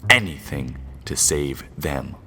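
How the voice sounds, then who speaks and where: An actor playing an ominous, theatrical host and narrator of an old-time radio mystery serial, with brooding, grandiose, drawn-out delivery. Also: male voice, rumbling low, lively intonation, pushed and bright, a little hiss or hum, full character voice.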